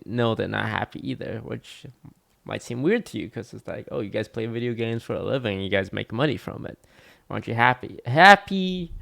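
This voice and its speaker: A man's voice: lowish voice, creaky, a bit bright. A man streaming, reacting to a video with dry, blunt, teasing remarks. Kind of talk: casual live-stream commentary.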